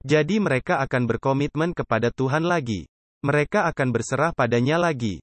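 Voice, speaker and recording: A man's voice: mid-range voice, expressive, fairly quick, no echo. A man preaching a dubbed sermon earnestly, with emphatic rhetorical questions put to his listeners.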